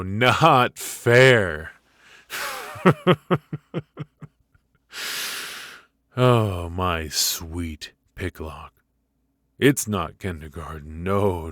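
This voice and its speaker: A man playing a teasing, menacing mafia boss in an ASMR roleplay, speaking in a halting, mock-polite, softly taunting way. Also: sits low, no room sound, clean studio sound, exaggerated voice.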